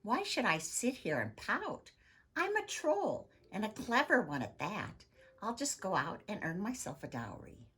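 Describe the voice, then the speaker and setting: A woman acts out a storybook troll maiden, scheming and sure of herself. The voice is slightly husky and leaps about in pitch, with a brash, sing-song selling manner. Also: huge pitch swings, measured pace, a bit bright, exaggerated voice. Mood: defiant, determined. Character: clever, bold, scheming young female troll.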